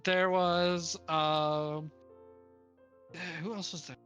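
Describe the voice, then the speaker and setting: A woman's voice: lowish voice, little pitch movement, takes it slowly, a bit bright, smooth, dull audio, faint music behind. A woman co-hosting a book club stream, chatting casually, rambling and stopping to search for names. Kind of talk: casual chat.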